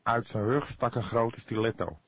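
A man's voice: mid-range voice, fairly bright tone, husky, dry recording. Een man die in een luisterboek een angstige jonge verdachte speelt, verdedigend, oprecht, emotioneel en soms haperend. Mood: shaken, emotional. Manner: halting, pleading, earnest. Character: anxious young male suspect, defensive and emotional. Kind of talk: audiobook character dialogue.

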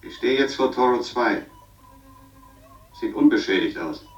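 An actor playing an uneasy, stubborn astronaut who reports tersely and haltingly over an intercom in a German science-fiction radio play. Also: male voice, mid-range voice, lively intonation, husky, faint music behind. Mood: tense, focused. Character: uneasy, stubborn astronaut exploring a wrecked asteroid station. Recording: dull, lo-fi radio sound.

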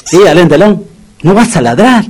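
An Argentine man playing a gloomy, soft-hearted dog owner in a comic radio drama, colloquial and repeating his words. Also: high voice, very animated, moderate pace, a little hiss or hum. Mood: mournful, resigned. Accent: Argentine Rioplatense. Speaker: radio comedy actor.

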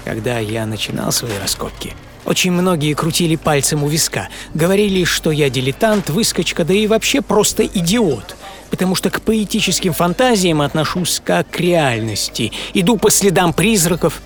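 An actor playing an obsessive middle-aged entrepreneur in a dramatic first-person radio monologue, speaking theatrically with pauses and half-whispered phrases. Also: male voice, a little high, expressive, close to an everyday voice. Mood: proud, impassioned. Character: obsessive middle-aged entrepreneur and treasure hunter recalling boyhood. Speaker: author and performer of a radio programme. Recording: music under studio voice.

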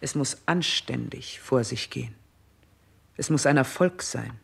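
A woman's voice: extremely low pitch, a little slow, gravelly, slightly rough, exaggerated voice. An actress playing a stern, scornful older queen in a 1960s radio play, with speech and an imperious, declamatory delivery. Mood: commanding. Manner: imperious, biting, declamatory, emphatic enumerations.

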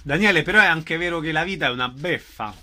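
Radio interviewer guy, bright slightly high voice, casual questions. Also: quick pace.

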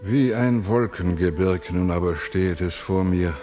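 An actor playing a grave, brooding chorus elder in a classical verse radio drama, speaking in a subdued way. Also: male voice, low voice, a little slow, warm tone, faint music behind.

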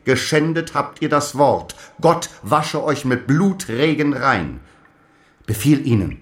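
An actor playing a zealous apostle in a radio drama, declaiming solemn, archaic prophecies and defiant last letters. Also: male voice, mid-range voice, sing-song, slow pace. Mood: wrathful, commanding. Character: fiery apostle dictating zealous letters, defiant before his death.

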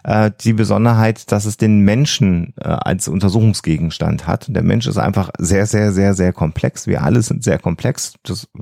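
Low, creaky-voiced man. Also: moderate pace, hardly any pauses, warm tone, no echo, studio quality. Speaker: podcast co-host. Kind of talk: conversational explanatory podcast talk.

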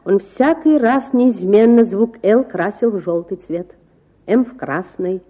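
A woman narrating a children's story from a script in an expressive audiobook style. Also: medium pitch, a little slow, muffled recording.

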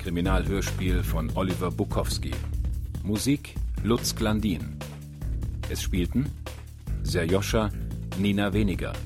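A man reading radio drama credits as a scripted announcement, measured and formal. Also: lowish voice. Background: prominent music.

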